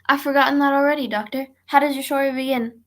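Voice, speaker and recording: Eager old-time radio host, acted by a woman: high voice, fast, chatty delivery. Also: fairly even intonation, a bit bright. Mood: curious, attentive. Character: eager, chatty radio announcer visiting a storyteller. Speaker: announcer and host.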